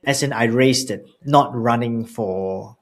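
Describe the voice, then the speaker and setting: A man's voice: mid-range voice, slow. A man in his forties hosting an interview, asking questions with frequent restarts and repeated words. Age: 40s. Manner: hesitant, repeats words when starting. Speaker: podcast host.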